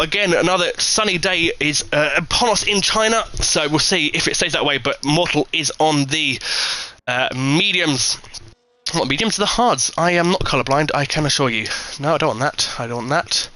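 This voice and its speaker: A man from the UK commentates a live esports race with a very bright, cutting voice sitting a little higher than most men's. He calls the action with energy, leaping up when the lead changes. Between calls he chats loosely with viewers, full of ums and asides. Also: normal speed, no echo, rough recording. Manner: energetic, jumps high in excitement at big moments; chatty, many fillers, reads and answers live chat. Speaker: sim racing commentator.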